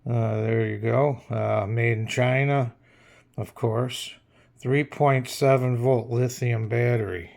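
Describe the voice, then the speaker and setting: Man, medium pitch, slow pace, hesitant, plain-spoken, casual unscripted reviewer. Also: steady tone, creaky, darkish tone. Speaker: radio reviewer. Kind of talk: casual hands-on product review.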